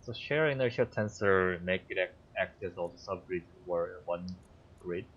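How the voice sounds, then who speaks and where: A man whose first language is Korean, streaming a game and thinking aloud in a halting way with many fillers. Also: deep voice, slightly rough, highs cut off. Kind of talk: casual gameplay commentary.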